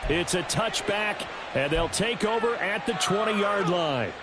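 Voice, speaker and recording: American football announcer over loud noise. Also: male voice, high voice, lively intonation, unhurried. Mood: excited. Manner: polished, punchy broadcast delivery. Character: upbeat male TV football play-by-play announcer. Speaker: play-by-play announcer. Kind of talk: football video game commentary.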